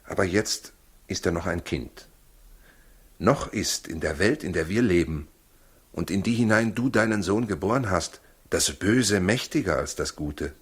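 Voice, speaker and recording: A protective, determined husband in a 1960s radio play. His voice is deep and rough-grained. He speaks gravely, in a measured, urging way. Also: lively intonation, full character voice. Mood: earnest, persuading. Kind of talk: 1960s German radio drama acting.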